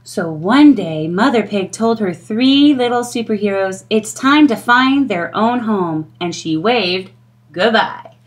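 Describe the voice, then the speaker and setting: A middle-aged woman reading a children's storybook aloud in an expressive storytelling style. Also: mid-range voice, slow.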